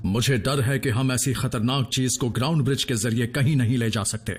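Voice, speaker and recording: A man voicing a noble, calm giant robot leader in an animated series dub, speaking in a measured, formal way. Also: mid-range voice, husky, soft background music, close to an everyday voice. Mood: grave.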